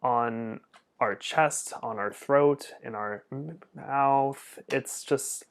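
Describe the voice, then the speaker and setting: Young adult male with a frequent stutter; relaxed, conversational delivery, middle pitch. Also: drawn-out words, vocal fry. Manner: stutters often, with repeated first sounds. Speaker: speech pathologist answering viewer questions. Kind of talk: casual Q&A monologue.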